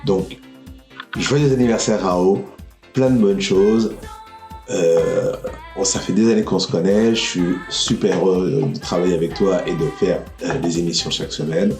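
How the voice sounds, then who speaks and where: A man hosting a casual online geek talk show, speaking in an informal, joking way with loose, halting phrasing. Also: sits low, darkish tone, faint music behind. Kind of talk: casual live-stream chat. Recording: roomy, echoing sound.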